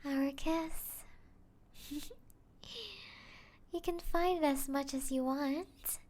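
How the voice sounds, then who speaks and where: A woman voicing a seductive, teasing female vampire in an intimate ASMR roleplay, breathy and often close to a whisper. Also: sits high, darkish tone.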